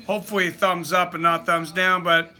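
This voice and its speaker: A man's voice: high voice, flat delivery, moderate pace, sharp tone. A man hosting a live fight companion stream, chatting casually and reading viewer comments aloud.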